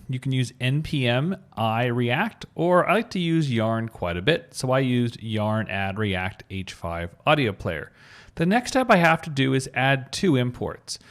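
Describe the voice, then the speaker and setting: A man giving an upbeat, informal screencast coding tutorial, explaining things step by step. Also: mid-range voice. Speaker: web developer giving a tutorial.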